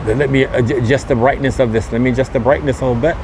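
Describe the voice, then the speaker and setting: A man's voice: mid-range voice, steady tone, racing. A man giving a casual walking tour commentary as a vlog host, with repetitive, promotional patter full of catchphrases, recorded outdoors on a busy street. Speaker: vlogger hosting a walking podcast. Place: outdoors on a busy open-air mall street. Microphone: handheld phone camera. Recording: outdoor street noise, wind and traffic.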